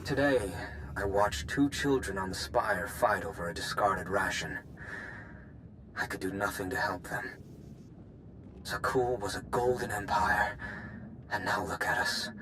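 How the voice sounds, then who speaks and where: An actor playing an embittered, grieving ex-guard bent on revenge in a video game, solemn, brooding and intense. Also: male voice, fairly low pitch, measured pace, long pauses, noisy background, close to an everyday voice. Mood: bitter, grieving. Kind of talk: dramatic video game cutscene acting.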